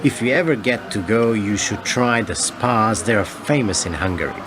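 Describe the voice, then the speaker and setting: An actor playing a courteous, tender middle-aged foreign agent with formal, measured phrasing in a radio play. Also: male voice, lowish voice, crowd noise, natural voice. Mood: warm, polite. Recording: very noisy, traffic-like ambience.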